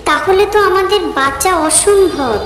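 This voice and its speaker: A woman acts a frightened young village woman in a horror cartoon, raised high and anxious. The voice pleads through a roomy echo with music behind. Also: moderate pace, fairly bright tone. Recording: echoey, long reverb, music behind.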